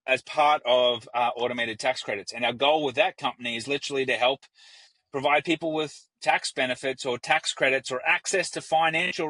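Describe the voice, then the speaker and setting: A man's voice: on the high side, sharp tone, no room sound, rough recording. An Australian man with an Australian accent, a business founder speaking as a guest in a casual podcast interview, talking with energy and acting out the voices he quotes.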